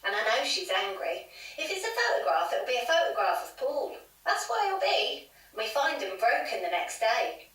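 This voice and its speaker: A woman's voice: a little high, big pitch swings, normal speed, a bit bright. Woman acting an emphatic haunted landlady, echoey room. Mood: agitated. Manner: emphatic, dramatic, repeats herself. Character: insistent, fearful pub landlady haunted by ghost. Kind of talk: audio drama storytelling in an interview. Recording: very echoey noisy room, distant mic.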